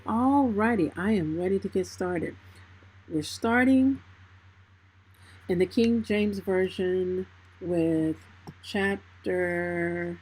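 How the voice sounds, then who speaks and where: Slow, mellow-voiced woman host. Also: on the low side, slightly rough, rough recording. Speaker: host of a Bible reading livestream. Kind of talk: casual livestream hosting and scripture reading. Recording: music playing behind the voice. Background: background music.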